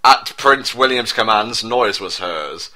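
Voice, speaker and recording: A man's voice: fairly low pitch, expressive, takes it slowly, bright, forceful tone. A man reading a comic story in mock-dramatic style, theatrically stressing clumsy wording. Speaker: narrator of comedic dramatic fan-fiction reading.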